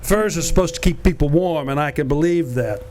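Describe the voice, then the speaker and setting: A man voicing a wisecracking, cool-headed male crook turned hero in a live community radio play, laid-back with quick quips. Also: fairly high pitch, darkish tone, slight background noise, lightly acted. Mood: wry.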